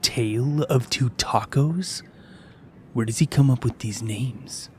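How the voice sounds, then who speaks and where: A smug high school football bully , acted by a man in an audio drama. He taunts with mocking condescension in a medium-pitched voice, over clear background noise. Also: slow pace, close to an everyday voice.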